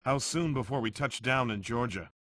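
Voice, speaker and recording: A man's voice: medium pitch, normal speed, fairly bright tone, no echo, lightly acted. An actor playing a laconic, weary secret agent who is also a father, in a spy game. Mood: dry, terse. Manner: laconic, dry.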